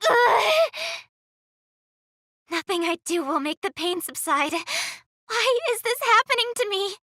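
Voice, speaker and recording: A frightened young woman in an anime-style game, her voice extremely high and bright. She pleads tearfully and dramatically, with pitch that swings widely. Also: big pitch swings, takes it slowly, no room sound, studio quality, exaggerated voice. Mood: pained.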